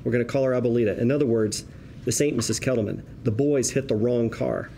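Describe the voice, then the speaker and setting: A man's voice: mid-range voice, fairly even intonation, quick pace, gravelly, mellow. A man reading stage directions at a script table read, with a vivid, wry storytelling manner. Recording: table read room with background noise.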